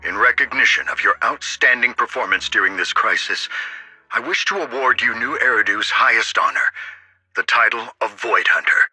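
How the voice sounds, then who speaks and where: A man playing a formal, earnest, dignified mayor in a video game, speaking in measured official phrases over a radio-like call. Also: mid-range voice, pushed and bright, husky, no room sound, faint music behind. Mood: formal, sincere. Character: earnest dignified male mayor bestowing an honor. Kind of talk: formal scripted game dialogue.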